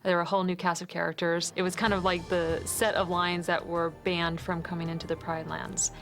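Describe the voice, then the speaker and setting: A woman's voice: fairly low pitch, level tone, fairly quick, fairly bright tone. A woman from a film production team speaking in a documentary interview, explaining the work. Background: music.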